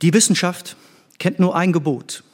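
A man's voice: high voice, moderate pace. A man acting an earnest, idealistic younger disciple in a radio play, speaking with insistent conviction. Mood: earnest, challenging. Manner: insistent, principled. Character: earnest younger male disciple, idealistic, challenging his old teacher.

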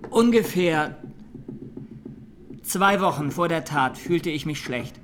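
An actor playing a young man on trial in a radio drama, haunted and resolute, speaking in plain, earnest, deliberate statements. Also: fairly high pitch, expressive, a little hiss or hum. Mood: haunted, grave. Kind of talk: German radio drama, courtroom testimony.

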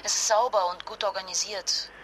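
A woman's voice: medium pitch, steady tone, brisk, fairly bright tone, a little hiss or hum, lightly acted, rough recording. An actress playing a distraught young mother fleeing disaster with a sick child, speaking with emotional, urgent intimacy in a naturalistic radio drama. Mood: weary, resolute.

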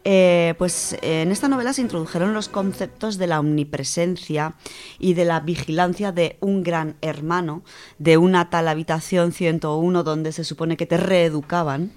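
Low-voiced woman explaining on radio. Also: moderate pace, a little mellow. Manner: thoughtful, with frequent hesitations and restarts. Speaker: radio host presenting a book segment.

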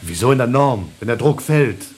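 Man acting anxious crewman, swinging wildly in pitch. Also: lively intonation, normal speed, darkish tone, lightly acted. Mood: anxious, agitated. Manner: agitated, urgent questioning, muttered asides. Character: anxious, exasperated male spaceship crewman facing an emergency. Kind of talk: German radio play acting.

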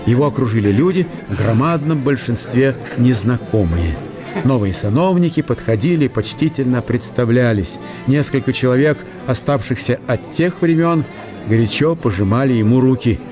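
A man's voice: mid-range voice, lively intonation, moderate pace, over music, lightly acted. A man narrating a historical radio play as dramatic literary storytelling.

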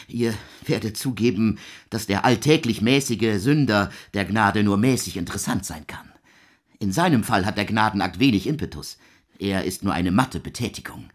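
An actor playing a proud intellectual man in a literary radio drama, with a husky voice and a formal, deliberate, insistent delivery. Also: medium pitch. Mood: coolly argumentative. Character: proud, intellectual man arguing theology with the devil.

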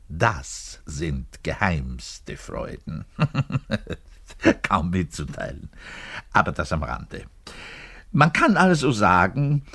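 An actor playing a garrulous, self-important double bass player in his thirties, delivering a digressive radio play monologue straight to the listener with halting self-corrections. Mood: secretive glee, then emphatic, lecturing. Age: 30s. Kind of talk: conversational theatrical monologue addressed to the listener.

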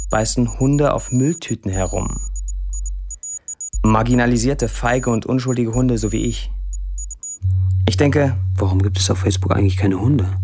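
An actor playing a young, disillusioned Syrian man who delivers a sardonic, deliberate confessional monologue in a radio drama. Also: mid-range voice, darkish tone.

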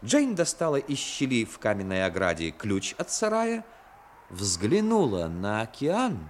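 A man narrating a story in a measured, expressive, dramatic style, as narrator of a 1960s radio play. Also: medium pitch, a little hiss or hum. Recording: 1960s radio recording with music.

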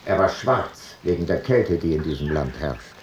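An actor playing an ancient traveller who gives a measured, detached eyewitness account in a literary radio play. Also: male voice, rumbling low, animated, slight background noise. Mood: sober, observant. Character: sober ancient traveller reporting a foreign funeral rite.

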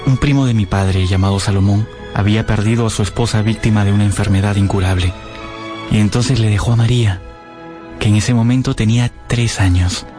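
Guy playing a wistful lovesick young man narrating a radio drama, kinda low voice, earnest, music behind him. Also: fairly even intonation, a little fast, close to an everyday voice. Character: lovestruck, melancholy young man recalling his first love.

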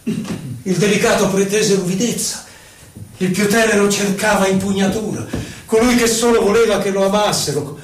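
A man gives a solemn, theatrical poetry recital, declaiming in short phrases with pauses between them. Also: sits high. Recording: echoey, distant, noisy live radio broadcast.

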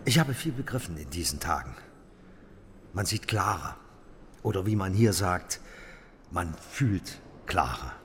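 An actor in a radio drama playing an evasive, self-important politician who speaks haltingly and ingratiatingly. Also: male voice, deep voice, sing-song, slight background noise. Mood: composed. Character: evasive, ingratiating male politician with a guilty secret. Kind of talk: German radio drama acting.

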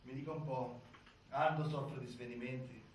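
A male actor plays a prison deputy commander of middling pitch. He is cool, curt and pressing, like an official interrogator in a stage drama. The voice is distant and strongly echoing. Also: steady tone, moderate pace, natural voice. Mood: curt, probing. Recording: very echoey theatre, distant, faint noise.

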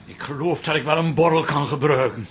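A man's voice: a little high, fairly quick, slight background noise, close to an everyday voice. An actor playing an anxious, fussy middle-aged man, agitated and insistent, in a radio drama. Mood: alarmed.

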